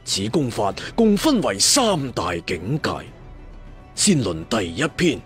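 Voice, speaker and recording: Man voicing a slow, solemn ancient martial master. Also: medium pitch, huge pitch swings. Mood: chanting, solemn. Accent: Cantonese. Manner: slow, drawn-out, declamatory. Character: proud, aloof ancient male cultivation master, solemn. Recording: music behind the voice.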